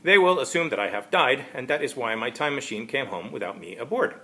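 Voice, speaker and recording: A man's voice: medium pitch, lively intonation, a little fast, pushed and bright, a little hiss or hum. A man reading aloud as an eccentric, oddly polite time traveler who speaks in stilted, formal wording. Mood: earnest, formal. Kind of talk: amateur storybook reading of character dialogue.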